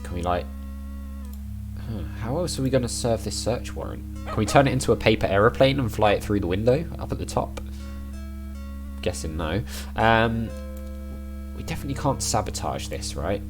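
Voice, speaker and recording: A man with a croaky, worn voice, chatting casually as he plays through an adventure game and thinks aloud. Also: lowish voice, animated, moderate pace, long pauses, over music. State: croaky voice, recovering from overuse. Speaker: let's play gamer.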